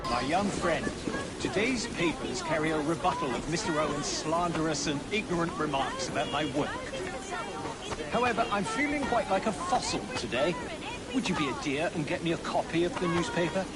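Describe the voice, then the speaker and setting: An actor playing a courtly, elderly gentleman scientist in a video game, wordy and formal. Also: on the high side, lively intonation, moderate pace, a loud crowd, lightly acted. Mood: courteous, indignant. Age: older. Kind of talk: video game cutscene acting. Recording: loud street noise behind.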